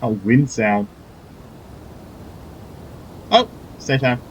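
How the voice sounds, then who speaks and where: A man's voice: mid-range voice, big pitch swings, very slow, a little hiss or hum, rough recording. A man giving casual, sardonic commentary while playing a video game, swearing offhandedly. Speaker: gamer playing through a story quest.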